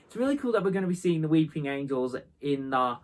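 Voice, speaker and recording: A man's voice: on the high side, sing-song, quick pace. A man giving a casual interview as an amateur audio drama cast member.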